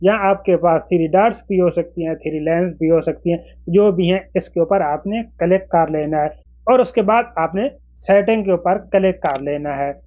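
A man's voice: sits high, normal speed, phone-quality sound. A man in his forties explaining phone settings step by step to camera in an instructional manner. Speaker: tech tutorial presenter. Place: small indoor room with desk.

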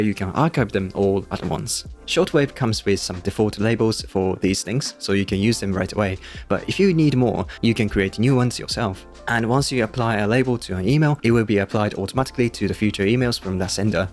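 A man in his thirties with a Japanese accent, presenting a scripted review to camera into a close microphone. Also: lowish voice. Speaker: YouTube tech reviewer. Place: small room indoors. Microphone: close podcast-style microphone on a boom. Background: background music.

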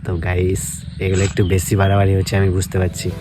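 Fast-talking young Bengali man, needling. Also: deep voice, monotone. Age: young adult. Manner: provocative, dismissive, needling. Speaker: prank video creator. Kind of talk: casual phone prank, teasing argument with asides to viewers. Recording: music behind the voice. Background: music.

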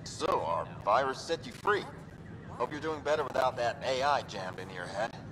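A man's voice: mid-range voice, expressive, normal speed, a crowd in the background, natural voice, poor audio. An actor playing an upbeat, chatty male hacker recruiting for his movement in a video game. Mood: friendly.

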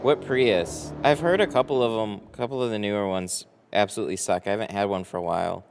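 An American man in his early thirties chatting casually on a livestream, halting and easygoing as he thinks aloud. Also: lowish voice, creaky. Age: early 30s. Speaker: livestream host, window tinter. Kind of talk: casual livestream chat, answering viewers. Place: large garage workshop with a boat. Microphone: close microphone on boom arm. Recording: long hall-like reverb.

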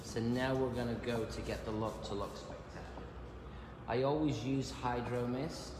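A man in his fifties giving a live haircut lesson in a big salon, chatty and joking as he works, his voice a little croaky. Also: mid-range voice, fairly even intonation, moderate pace. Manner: chatty, joking, teaching as he cuts. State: slightly croaky voice. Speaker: hairdresser and educator. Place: large bright hair salon, indoors. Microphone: phone camera held nearby. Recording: echoey salon room with noise.

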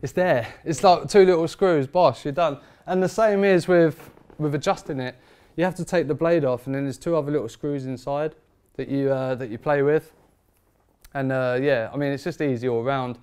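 A man, talking shop as a barber seated in his barber shop. His voice is smooth and resonant, and his speech is emphatic and informal. It rings with eager conviction. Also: a little high, normal speed, darkish tone. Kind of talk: product review chat.

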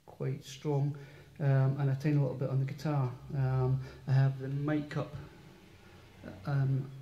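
Quiet, hesitant man in a roomy space. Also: mid-range voice, monotone, unhurried, muffled recording, a little hiss or hum. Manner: hesitant, full of ums and restarts. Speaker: musician demoing an amplifier. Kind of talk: casual product demo. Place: small room in an old house, indoors. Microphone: stand microphone through an amplifier with reverb. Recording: roomy, reverberant sound through an amp.

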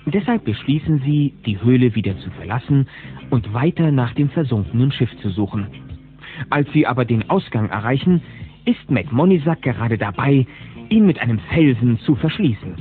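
An actor as a lively storyteller, narrating a scripted children's adventure audio drama. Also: male voice, mid-range voice, moderate pace, soft background music, lightly acted. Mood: storytelling. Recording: tinny band-limited old cassette.

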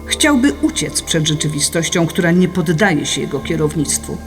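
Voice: mid-range voice, very animated, moderate pace, lightly acted. A narrator reading a scripted philosophical audiobook in an explanatory, didactic manner. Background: music.

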